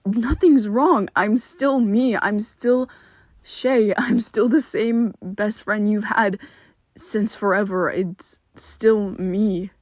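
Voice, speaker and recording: A woman voicing a secretive, protective young male vampire best friend in an ASMR roleplay, talking haltingly and often dropping to a whisper. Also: mid-range voice, no room sound, clean studio sound. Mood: pleading.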